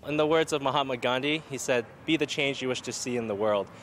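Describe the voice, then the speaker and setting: A man's voice: mid-range voice, fast, fairly bright tone, a little hiss or hum. A man making an earnest personal statement.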